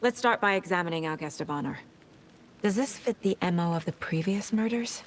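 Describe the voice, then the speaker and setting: A woman's voice: fairly low pitch, a little fast, slight background noise, close to an everyday voice. A woman playing a composed, dry-witted female FBI agent in a video game, speaking in clipped, analytical phrases. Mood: focused, analytical. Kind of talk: video game dialogue and investigative monologue.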